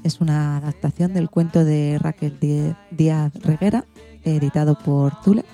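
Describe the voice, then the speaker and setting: A woman's voice: deep voice, steady tone, normal speed, warm tone, soft background music. A woman with an accent from Spain, hosting a radio programme in an informal way. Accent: Spanish from Spain. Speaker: programme host.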